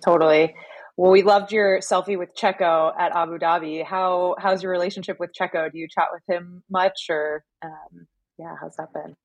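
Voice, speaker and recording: A woman co-hosting an interview, asking questions in a relaxed, conversational way. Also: lowish voice, moderate pace, muffled recording. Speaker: interview co-host.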